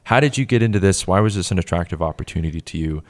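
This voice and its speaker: Man, very low voice, casual podcast host, rambling filler-heavy questions. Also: lively intonation, racing. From New Hampshire. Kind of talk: casual conversational interview.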